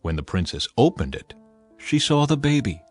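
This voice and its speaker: A man reading scripted Bible narration in a measured, expressive storytelling manner. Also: mid-range voice, no room sound. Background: background music.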